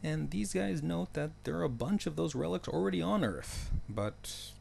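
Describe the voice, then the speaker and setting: A man's voice: on the high side, expressive, moderate pace, creaky, darkish tone, slight background noise. A man narrating a story recap in a casual, loosely scripted way, with wry joking asides.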